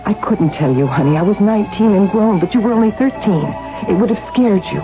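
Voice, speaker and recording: A woman's voice: medium pitch, expressive, fairly quick, natural voice. An actress playing a bold, protective elder sister in her thirties in a 1970s radio drama, urgent and coaxing. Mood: confiding, wistful. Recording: dull vintage radio recording, music behind.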